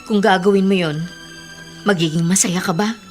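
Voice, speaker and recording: Actress as caring but stern filipina big sister, radio drama, mid pitch, measured, music behind. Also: female voice, natural voice.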